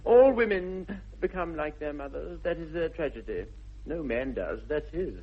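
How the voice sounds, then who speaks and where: An actor playing a witty, flippant young bachelor with an upper-class English accent, breezy in manner, in a 1960s British radio comedy. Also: male voice, a little high, animated, normal speed, breathy edge. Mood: flippant, amused. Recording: dull vintage radio recording.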